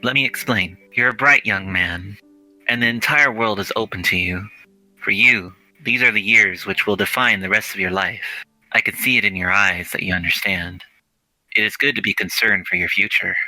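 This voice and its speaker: An older male mentor played by a man reading a visual novel speaks with a very bright, forceful edge on a lowish pitch. He is patient, formal and reassuring, with faint music underneath. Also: moderate pace, dry recording, low-quality recording. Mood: earnest, reassuring. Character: older male scholarly mentor, patient and earnest shop master.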